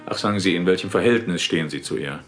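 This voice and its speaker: A man's voice: deep voice, fast, soft background music, lightly acted. An actor playing a wry, tough male detective who questions and narrates with dry assertiveness in a German audio drama. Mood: probing. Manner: probing, dryly assertive.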